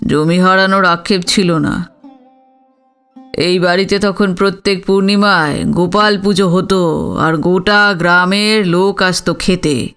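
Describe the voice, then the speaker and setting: Dignified older village landowner, male actor, proud, reflective, high-pitched and unhurried, for an audio story. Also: fairly bright tone, smooth. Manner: measured, deliberate, explanatory. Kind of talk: Bengali audio story acting.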